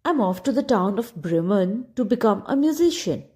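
A woman's voice: mid-range voice, animated, moderate pace, dark tone, lightly acted. A woman voicing a tired but kindly old male donkey who speaks sympathetically. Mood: sympathetic. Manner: sympathetic, encouraging.

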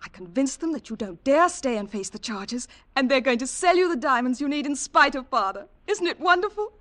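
A woman's voice: sits high, lively intonation, a little fast, fairly bright tone. An actress playing a devoted, eager young woman in love, in 1940s radio drama style. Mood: excited.

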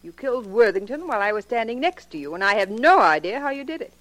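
An actress playing a murderer's anxious, probing wife in a 1940s radio crime drama, questioning with dramatic insistence. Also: female voice, a little high, animated, fast, a bit bright, no room sound. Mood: accusing, insistent.

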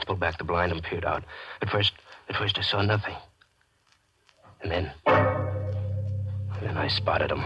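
A haunted, possessive gambler in a 1940s radio mystery. His voice is low and hard-boiled, urgent and intense, with dramatic music clearly behind it. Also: poor audio. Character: possessive, guilt-ridden gambler narrating his panicked downfall.

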